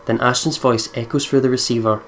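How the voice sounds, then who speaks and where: A man's voice: medium pitch, steady tone, brisk. A man hosting a let's play, reading first-person visual novel narration aloud and laughing between passages. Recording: loud background noise.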